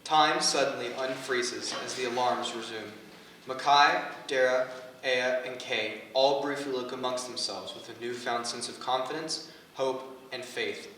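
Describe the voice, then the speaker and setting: Guy reading stage directions, mid pitch, really echoey hall, bit far from the mic, faint noise. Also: steady tone, a little slow, pushed and bright. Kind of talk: scripted narration at a staged reading.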